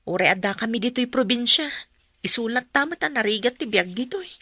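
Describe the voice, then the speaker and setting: A woman's voice: fairly high pitch, expressive, a little fast, pushed and bright. A woman acting a dutiful young mother who works at a school canteen, in an Ilocano radio drama. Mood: earnest, resigned.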